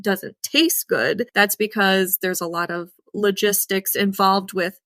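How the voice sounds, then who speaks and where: A woman's voice: mid-range voice, fairly even intonation, a little slow, bright, forceful tone, no room sound, studio quality. A young woman with a Midwestern accent, co-hosting a casual farming podcast, chatty and emphatic, laughing often. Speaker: co-host, cattle farmer.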